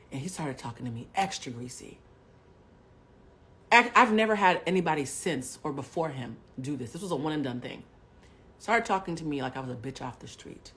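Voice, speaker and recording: A woman in her forties hosting a livestream, telling a personal story bluntly and with plenty of profanity, swinging between hushed asides and loud bursts. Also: sits low. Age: 40s. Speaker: livestream host. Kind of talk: candid storytelling to a live audience.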